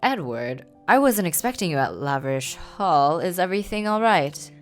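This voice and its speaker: A woman's voice: lowish voice, very animated, normal speed, soft background music. A woman voicing an older Regency uncle, stern but kindly, with formal, proper period speech, for a game. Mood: concerned.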